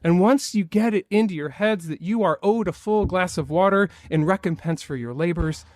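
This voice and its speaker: A man's voice: high-pitched, moderate pace, darkish tone, no room sound. Male minister, conversational sermon, light wry humor, dry pickup. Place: church sanctuary.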